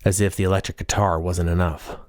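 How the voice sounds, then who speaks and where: A man narrates a novel in the first person with a low-pitched voice. The sound is dry, with no room echo, as in an audiobook reading. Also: little pitch movement, fairly quick.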